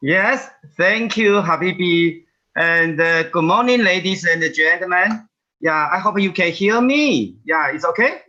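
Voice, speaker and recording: A man giving a webinar presentation over a video call, talking haltingly with many "yeah" fillers and restarts. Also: high-pitched, animated, pushed and bright, highs cut off. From Taiwan. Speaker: guest presenter.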